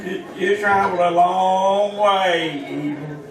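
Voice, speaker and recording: An actor playing a querulous old backwoods feuder in a radio western, with a stammering, folksy rural Southern delivery. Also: male voice, sits high, takes it slowly, a crowd in the background. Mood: folksy, reminiscing. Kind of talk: old-time radio western acting, live stage. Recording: distant roomy live theater stage recording.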